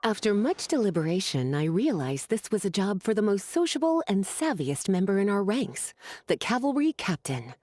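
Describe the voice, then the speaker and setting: A woman's voice: mid-range voice, big pitch swings, moderate pace, a little mellow, no echo. A woman playing a teasing, persuasive scholar with a coaxing, playful manner in an anime game. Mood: reassuring, amused.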